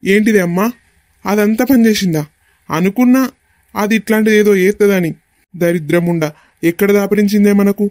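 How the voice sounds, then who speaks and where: A man's voice: sits high, moderate pace, pauses often, a little mellow, dry recording, clean studio sound, natural voice. A man playing a hot-headed, protective village son in a family drama, speaking bluntly with a Telangana Telugu accent. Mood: angry. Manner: blunt, agitated questioning.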